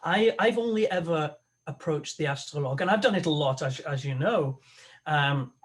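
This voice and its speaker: A man, an opera tenor, talking as a guest on a video call, halting and thoughtful with many restarts. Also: fairly high pitch, expressive. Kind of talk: conversational interview over a video call.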